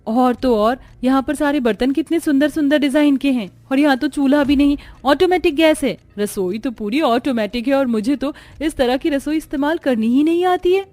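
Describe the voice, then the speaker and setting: A woman playing a humble, wide-eyed young bride, high-pitched. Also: moderate pace, few pauses, darkish tone, no echo, close to an everyday voice. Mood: awestruck. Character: gentle, humble young village bride, easily amazed.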